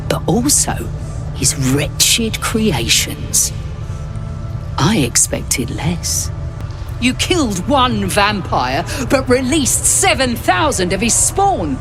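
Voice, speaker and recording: An actress voicing a stern, grieving female monster hunter leader in a video game, speaking in a measured, accusing way. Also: medium pitch. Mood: incredulous.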